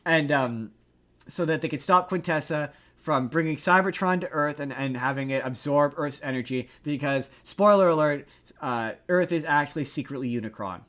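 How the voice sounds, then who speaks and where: Male podcast co-host, slightly high voice; rambling, emphatic, excitable pop-culture rants, stumbles over words, swears freely. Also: moderate pace. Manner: casual swearing. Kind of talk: casual pop-culture chat and ranting.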